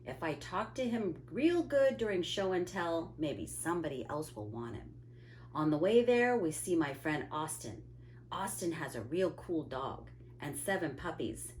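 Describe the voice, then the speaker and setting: A woman reading a picture book aloud to children with expressive, drawn-out emphasis and little asides to her listeners. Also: deep voice, slight background noise. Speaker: storytime reader, teacher.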